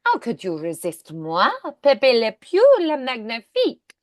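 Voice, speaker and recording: A woman voicing an amorous, flamboyant male cartoon skunk with an exaggerated French accent, nasal vowels and drawn-out seductive phrasing. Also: on the high side, wildly swooping pitch, dry recording.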